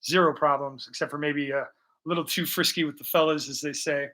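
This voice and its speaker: A man in his forties talks casually to his stream chat while he paints, rambling from story to story with jokes and swearing. His voice sits a little high and sounds sharp and very bright. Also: fairly even intonation, fairly quick. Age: 40s. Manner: rambling, profane and joking.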